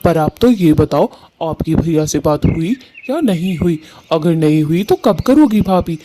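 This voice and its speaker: A woman's voice: low-pitched, expressive, unhurried, noisy background, voice pushed down. A woman voicing an eager, lovestruck young male bird in a cartoon story, with an earnest, pleading manner. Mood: anxious pleading.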